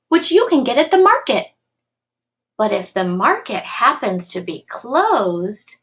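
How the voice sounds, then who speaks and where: A woman reads a children's picture book aloud in the manner of a school storytime. Her voice sits a little high and moves in animated swings of pitch. She tells it expressively, with clear pacing meant for young listeners. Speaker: school computer tech reading a storybook aloud to pupils.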